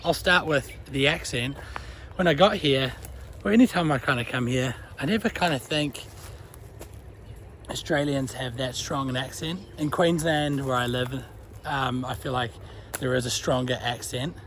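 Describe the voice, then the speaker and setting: A man's voice: medium pitch, normal speed, a little hiss or hum. A young man with a New Zealand accent, chatting casually in a vlog while walking his dog by a creek. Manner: chatty, relaxed, uses Kiwi slang. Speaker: vlogger. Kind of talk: casual walk-and-talk vlog.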